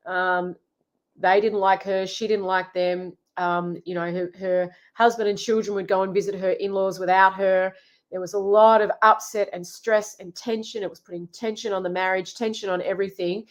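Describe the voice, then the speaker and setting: A woman's voice: medium pitch, fairly even intonation, moderate pace. A middle-aged woman relationship coach hosting a live Q&A, chatty and full of ums and restarts, with a head cold. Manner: chatty, warm greetings, frequent ums and restarts. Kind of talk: live Q&A chat.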